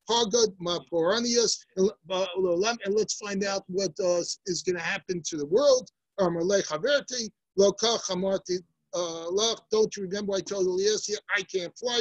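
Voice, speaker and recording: An older man leads a Talmud class with a husky, slightly breathy voice, on a dry, close laptop mic. He reads a passage, then retells it as a lively story with asides to his students. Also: sits high, dry recording, rough recording. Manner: lively storytelling with big hand gestures and asides. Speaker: Talmud teacher. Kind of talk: daily Talmud class, reading and explaining. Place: indoor study room lined with bookshelves. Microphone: laptop webcam, seated close to the screen.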